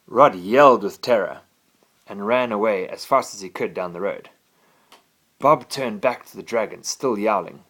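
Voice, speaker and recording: A man's voice: lowish voice, a bit bright. A man reading a children's bedtime story expressively, as a father to his child.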